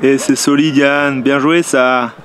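A young man commenting on friends' ski competition runs outdoors on a mountain, animated and teasing, recorded on an action camera. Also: medium pitch, slow, background noise. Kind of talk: excited live commentary. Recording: music playing underneath.

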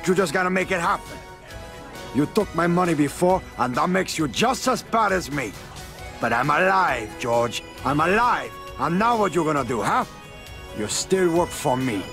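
A brash Cuban gangster kingpin for a video game. His voice sits a little high and swings widely, full of swagger and profanity, in a Cuban accent. Music plays behind him. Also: very animated, normal speed. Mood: defiant, angry. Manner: cocky, profane, domineering. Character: brash, hot-tempered Cuban gangster kingpin, adult man. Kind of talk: video game cutscene acting.

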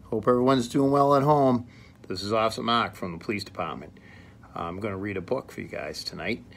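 A man's voice: on the low side, a little fast, a bit bright. A man in his forties, a police officer, reading a picture book aloud for young children in simple words with stressed key words, recorded on a phone. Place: small tiled indoor room. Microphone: phone at arm's length. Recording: clear background room noise, phone recording.

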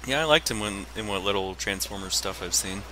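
Very bright, low-voiced man chatting. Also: lively intonation, fairly quick, a little hiss or hum, poor audio. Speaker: co-commentator. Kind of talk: casual chat over gameplay.

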